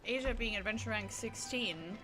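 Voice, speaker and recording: A woman's voice: fairly high pitch, normal speed, poor audio. A woman chatting casually on a live stream while she plays a game, musing and often trailing off mid-thought. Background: game music and sound effects.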